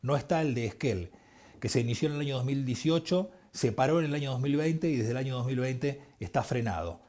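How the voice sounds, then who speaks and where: A man with an Argentine accent hosting a television interview show, asking quick, probing follow-up questions. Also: medium pitch, animated, a little mellow.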